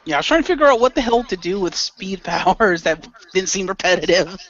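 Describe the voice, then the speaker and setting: A man with a slightly high voice chats casually as a player in a radio play. He is on a voice call, and the sound is dry and a bit dull. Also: lively intonation, brisk, a bit bright. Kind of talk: casual chat. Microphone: online voice call.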